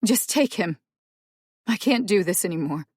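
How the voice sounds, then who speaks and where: A woman voicing a furious, overwhelmed young woman who shouts and curses as she nears breaking. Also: mid-range voice, expressive, normal speed, slightly rough, no echo, studio quality. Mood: despairing. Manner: shouting, cursing, voice cracking.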